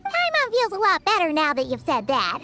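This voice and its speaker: Casting: tiny excitable game fairy, squeaky, piercingly bright, very animated delivery. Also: female voice, moderate pace, soft background music, full character voice. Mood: chirpy. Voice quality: squeaky, childlike. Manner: exclamatory, refers to self in third person. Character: small floating fairy-like companion, childlike, excitable, chatty. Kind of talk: anime game voice acting.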